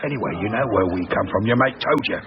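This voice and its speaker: An actor playing a cocky, menacing villain in a radio drama, with a working-class English accent and a needling, falsely friendly manner. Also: male voice, fairly low pitch, animated, fast. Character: cocky menacing male villain, mockingly matey, streetwise. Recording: muffled radio drama, pub crowd behind.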